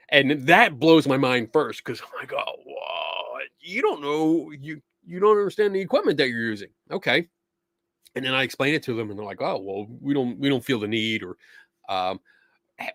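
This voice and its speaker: A man in the middle of a relaxed live stream, talking in a rambling way with lots of "um" and restarts. His voice is wearing thin and hoarse. The sound is dry and close, with no room around it. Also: mid-range voice, no echo. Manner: rambling, many fillers and restarts, mocking quoted voices. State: losing his voice, clearing throat. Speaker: livestream host answering viewer questions. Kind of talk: casual live-stream chat.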